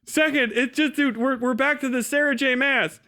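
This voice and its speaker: A man's voice: extremely high pitch, fairly even intonation, moderate pace, sharp tone. A man hosting a livestream, reading aloud and riffing in a casual, incredulous, foul-mouthed way. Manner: acts out mocking imagined dialogue. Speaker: livestream host and commentator.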